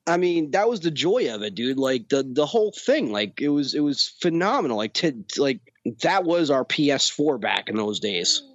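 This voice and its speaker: A man in his thirties swears his way through an excitable, casual rant. The recording is dull and lo-fi, and very dry with no room sound. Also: a little high, normal speed, dry recording. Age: 30s. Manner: profane, excitable ranting. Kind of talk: casual chat.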